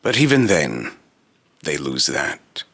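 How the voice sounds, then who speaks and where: A man voicing a solemn, otherworldly immortal being in a deep, raspy robotic voice, with grandiose, archaic, halting phrasing in a dramatic monologue. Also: big pitch swings, fairly bright tone, dry recording, heavily acted. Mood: mournful.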